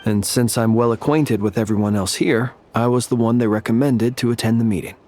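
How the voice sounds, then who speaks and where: An actor voicing a thoughtful, earnest young male soldier in a video game, measured and reflective. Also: medium pitch, fairly even intonation, a little mellow, slight background noise, lightly acted. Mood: polite. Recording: game audio with music behind.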